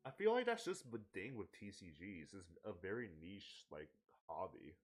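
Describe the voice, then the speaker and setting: A man's voice: low voice, wildly swooping pitch, moderate pace. A man in a casual streaming chat, laid-back and chatty, laughing often. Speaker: streamer co-host.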